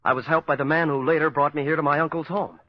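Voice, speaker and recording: A man's voice: fairly high pitch, steady tone, quick pace, lightly acted. An actor playing an anxious male prisoner in a 1940s radio thriller, answering earnestly and urgently. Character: anxious male captive, an escaped convict. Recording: muffled vintage 1940s radio recording.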